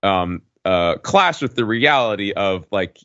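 A man on a podcast casually recounting an experience in a wordy, halting way, often correcting himself. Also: lowish voice, lively intonation, a bit bright. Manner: wordy, halting delivery with frequent hedging and self-corrections. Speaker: podcast regular recounting a trip. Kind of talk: casual chat, rambling storytelling. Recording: dull lo-fi, roomy echo.

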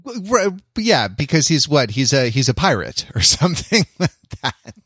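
A man hosting a podcast in casual, joking chat, playful and quick to interject. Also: fairly high pitch, sing-song, fairly bright tone, husky, no echo, studio quality. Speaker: podcast host.

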